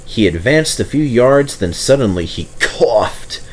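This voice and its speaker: A man's voice: mid-range voice, animated, measured pace, a little hiss or hum. A man narrating a children's story from a script as the storyteller.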